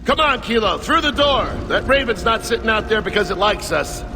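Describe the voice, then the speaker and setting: An actor playing a steady male military squad lieutenant in a video game, commanding and earnest. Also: high-pitched, a little fast, noisy background. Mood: urgent, commanding. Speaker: squad leader. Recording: music behind the voice.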